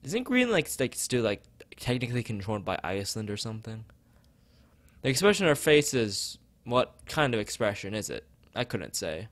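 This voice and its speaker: A man's voice: on the low side, sing-song, normal speed, no room sound. A man streaming as he reads a visual novel aloud and chats with viewers, often stumbling and rereading phrases. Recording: background music behind voice.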